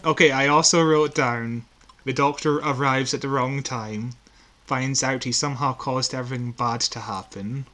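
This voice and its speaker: A man's voice: mid-range voice, fairly even intonation, moderate pace, sharp tone. A man co-hosting a podcast, chatting casually and recapping plots informally with a dry, teasing manner. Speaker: podcast co-host.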